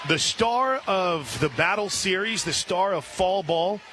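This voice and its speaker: Male radio softball announcer, higher-pitched voice, excitable live play-by-play, noisy stadium ambience behind. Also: measured pace. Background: clear background noise, ballpark ambience.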